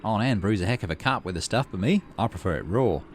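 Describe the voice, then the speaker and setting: A man voicing an excitable, folksy adult woman colonist in a game, with colloquial, exclamatory phrasing. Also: lowish voice, quick pace, slight background noise, natural voice. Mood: hearty, enthusiastic. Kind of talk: character voice in a game read-aloud.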